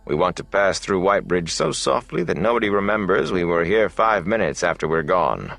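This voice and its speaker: A man's voice: animated, fairly quick, creaky, fairly bright tone, no echo, heavily acted. A man voicing a wary old traveling entertainer in an audiobook, speaking in a terse, cautioning manner. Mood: curt, wary. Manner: terse, low-key, cautioning.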